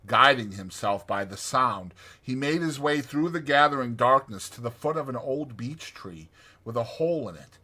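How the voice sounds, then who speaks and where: A man narrating a storybook expressively, with measured, dramatic phrasing. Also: mid-range voice, lively intonation, bright, forceful tone. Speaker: audiobook narrator.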